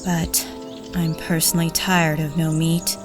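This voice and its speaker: A woman playing a calm, pragmatic female thief in a fantasy audio drama, matter-of-fact. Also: deep voice, unhurried, over music. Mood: calm, practical.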